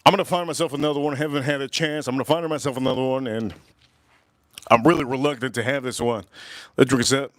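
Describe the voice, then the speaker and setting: A man's voice: medium pitch, fairly even intonation, fast, fairly bright tone, no room sound. A man reviewing whiskey on a casual livestream, enthusiastic and repeating his phrases, with sighs and exclamations between sips. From United States. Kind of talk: casual livestream tasting chat.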